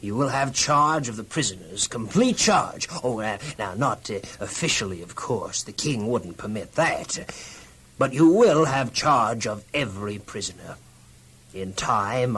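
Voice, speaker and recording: An actor playing a kindly, talkative prison keeper in a children's audio drama, with hearty, rambling delivery. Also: male voice, lowish voice, expressive, unhurried, a bit bright, slight background noise. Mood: excited. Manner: hearty, rambling, hesitant asides.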